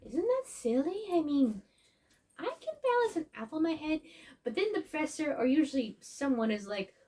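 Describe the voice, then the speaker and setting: A woman's voice: high-pitched, sing-song, moderate pace, dark tone. A woman playing a chatty, silly robot who hosts a playful children's story time with sing-song exclamations and asides. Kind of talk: children's read-along with asides.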